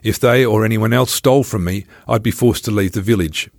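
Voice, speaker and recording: A man's voice: lowish voice, a little fast, creaky, no echo, studio quality. A man reading his own travel memoir aloud as scripted first-person narration.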